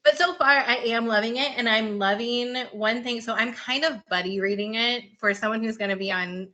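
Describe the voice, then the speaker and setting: A woman's voice: on the high side, moderate pace, pushed and bright. A woman hosting a podcast, talking about books in an informal, enthusiastic, conversational way. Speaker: podcast host. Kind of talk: casual book chat.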